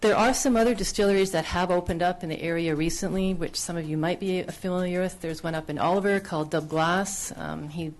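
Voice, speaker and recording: A woman's voice: lowish voice, a little fast, few pauses. A woman presenting her business plan at a town council public hearing, speaking earnestly into a podium microphone with frequent fillers and restarts. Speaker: business applicant. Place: council chamber.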